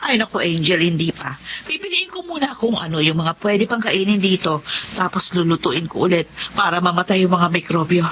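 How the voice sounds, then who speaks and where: A woman's voice: fairly low pitch, expressive, fairly quick, a bit bright, a little hiss or hum. An actress playing a poor, patient and protective mother in a radio drama. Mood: patient, caring. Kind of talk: dramatic radio narration and dialogue.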